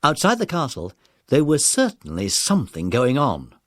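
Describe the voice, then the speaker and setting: A man's voice: medium pitch, wildly swooping pitch, normal speed, dry recording, studio quality. A man narrating a scripted children's adventure story in a dramatic, listener-friendly storytelling manner. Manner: dramatic, addresses the young listeners.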